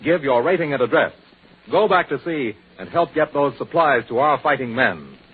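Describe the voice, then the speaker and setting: Husky American announcer, muffled old radio. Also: male voice, mid-range voice, expressive, normal speed. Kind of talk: 1940s scripted radio announcing.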